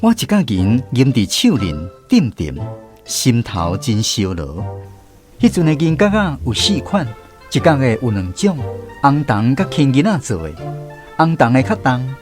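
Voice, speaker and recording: A man narrating a story in a gentle, nostalgic, unhurried way. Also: medium pitch, sing-song. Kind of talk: scripted storytelling narration, reminiscing. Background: clear background music.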